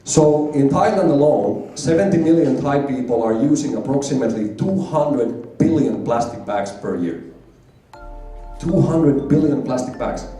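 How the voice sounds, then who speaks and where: A man from Finland gives an emphatic business presentation, driving home his figures by repeating them. His voice is mellow and dark-toned, heard from a distance in a very echoey hall with faint music behind. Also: mid-range voice, lively intonation, a little slow. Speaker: conference presenter. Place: large conference hall.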